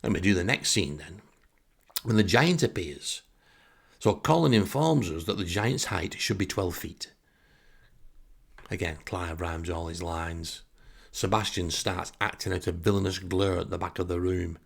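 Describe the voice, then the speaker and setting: Low, creaky storytelling man. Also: a little slow. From northern England. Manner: wry, exasperated. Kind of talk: comic anecdotal monologue.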